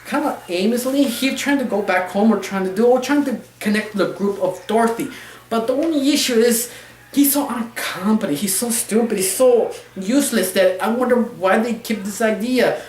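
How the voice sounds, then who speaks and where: A woman giving a casual, opinionated review, rambling and halting, with non-fluent English phrasing. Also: on the high side, darkish tone, a little hiss or hum. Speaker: cartoon reviewer. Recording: roomy, echoey sound.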